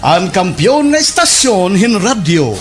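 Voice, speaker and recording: A man's voice: high voice, very animated, a little slow, loud music behind the voice. A man with a Waray accent delivering a promotional radio station announcement. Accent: Waray, Eastern Visayas Philippines.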